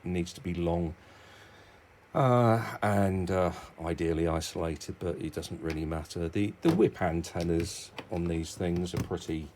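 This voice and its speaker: A man's voice: low voice, measured pace, a little mellow, slight background noise. A middle-aged British man giving a casual, explanatory hobby talk, rambling informally and thinking aloud as he goes. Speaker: amateur radio hobbyist presenter.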